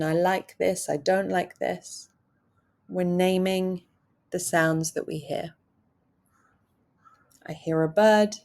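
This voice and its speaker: Slow, gentle woman guiding meditation. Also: on the low side, creaky. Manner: calm, gentle, unhurried guidance with soft pauses. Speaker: meditation guide. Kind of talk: guided meditation instruction.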